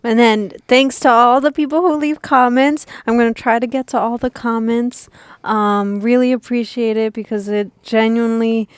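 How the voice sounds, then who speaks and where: A young woman from New Jersey co-hosting a casual movie reaction channel, talking in a soft, hesitant, giggly way. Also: fairly high pitch, fairly even intonation, moderate pace, smooth, no echo, clean studio sound. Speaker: co-host. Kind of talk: casual chat.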